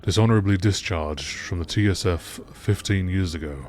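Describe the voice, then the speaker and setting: A man narrates scripted log entries in a formal, measured manner. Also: sits low, darkish tone, a little hiss or hum.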